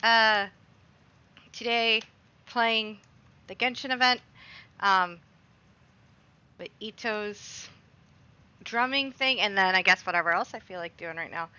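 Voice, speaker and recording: Bright-voiced woman chatting on a game stream. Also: mid-range voice, takes it slowly, pauses often, smooth, highs cut off. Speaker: livestream host.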